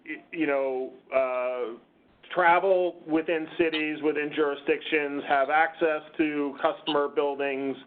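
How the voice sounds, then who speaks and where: A man moderating an unscripted meeting discussion on a conference call, speaking in a halting, hesitant way. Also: on the high side, steady tone. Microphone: conference call line. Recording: muffled, phone-like conference call.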